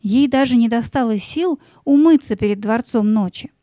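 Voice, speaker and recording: Soft-toned, high-voiced woman storyteller. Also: normal speed, no room sound, studio quality. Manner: expressive, theatrical storytelling. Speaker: narrator. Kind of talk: scripted fairy-tale narration for an audio play.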